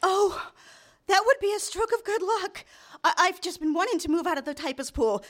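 An actress playing a nervous, eager young secretary smitten with her boss, halting and deferential, in a live radio drama. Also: extremely high pitch, expressive, a bit bright. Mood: thrilled, eager. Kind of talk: live radio drama acting with first-person narration. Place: theater stage, live show.

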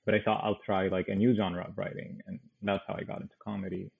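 Gravelly, husky man on a phone-like line. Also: lowish voice, level tone, fast talker. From Damascus, Syria. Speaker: podcast guest, comedian, artist and writer. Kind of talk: casual interview conversation. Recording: tinny, band-limited phone-like sound.

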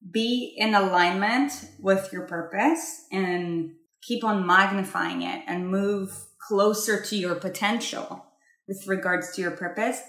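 A woman's voice: mid-range voice, a bit bright, reverberant. A woman from Israel co-hosting a casual talk about spirituality, emphatic and repeating herself as she addresses listeners directly.

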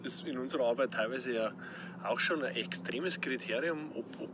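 A man with a Bavarian accent hosting a podcast, asking questions in a measured way. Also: medium pitch. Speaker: podcast host. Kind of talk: podcast interview. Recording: background traffic noise.

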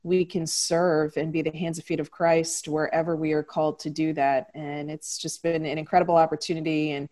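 A woman's voice: low voice, flat delivery, fairly quick, few pauses, mellow. A woman on a church missions staff speaking earnestly and with enthusiasm.

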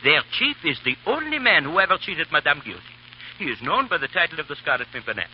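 Jovial, morbid revolutionary barber. Very animated delivery, muffled noisy 1930s radio sound. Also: male voice, on the high side, quick pace. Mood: confiding, grim. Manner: chatty, gleefully morbid. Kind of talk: 1930s radio drama acting.

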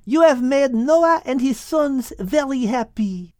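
A man's voice: extremely high pitch, expressive, slow, mellow, no echo, clean studio sound, voice pushed up, heavily acted. A man voicing a dainty, affectionate little female animal with a French accent. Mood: fond, eager. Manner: affectionate, exclamatory.

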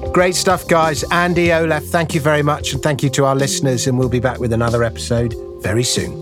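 A man hosting a podcast interview, joking and wrapping up the show smoothly. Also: medium pitch, fairly even intonation, fast talker, over music. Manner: jokey, polished, wraps up smoothly. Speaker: podcast host.

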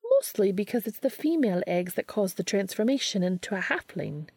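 A woman's voice: mid-range voice, big pitch swings, a little fast, a little mellow, breathy edge, no room sound, studio quality. A woman voicing a haughty, exasperated centuries-old witch with an arch, scolding manner in a story reading. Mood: thoughtful.

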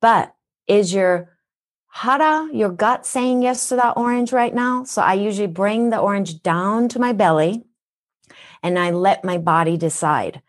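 A woman's voice: mid-range voice, expressive, unhurried. A woman from Japan with a Minnesotan accent, speaking as a wellness guest in an interview, earnest and teacherly, asking rhetorical questions. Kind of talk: podcast interview.

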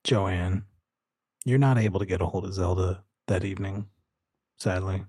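A man's voice: sits low, moderate pace, darkish tone, husky, dry recording, studio quality. A man narrating a tabletop roleplaying game as game master, describing scenes and addressing players.

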